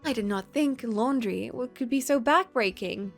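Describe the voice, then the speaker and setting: A woman reads a curious, well-spoken young lady from a visual novel who is new to farm chores. The voice is high, the phrasing formal and inquisitive, with music behind. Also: moderate pace. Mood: polite, concerned. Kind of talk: visual novel character reading. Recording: background music.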